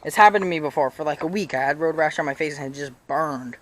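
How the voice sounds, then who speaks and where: A young man with a slightly raspy voice giving casual bike tips in a rambling way, full of fillers and restarts. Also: on the high side, fast, a bit bright. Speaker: BMX vlogger. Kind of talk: casual how-to vlog. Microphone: chest-mounted action camera.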